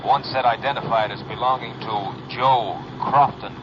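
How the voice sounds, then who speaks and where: An actor playing a police radio dispatcher giving a clipped, formal report, in a 1950s radio drama. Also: male voice, mid-range voice, steady tone, normal speed, close to an everyday voice. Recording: dull old radio recording with hiss.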